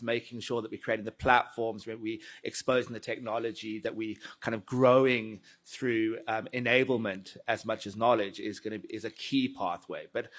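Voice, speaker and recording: A man's voice: mid-range voice, fairly bright tone. Male panel moderator, affirming, casual, lots of you-knows. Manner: affirming, loose, many fillers. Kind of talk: panel discussion.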